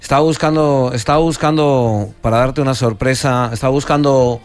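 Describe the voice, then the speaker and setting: A middle-aged man hosting a radio interview, speaking in a halting way with many restarts. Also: medium pitch, clean tone, faint music behind. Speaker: radio host.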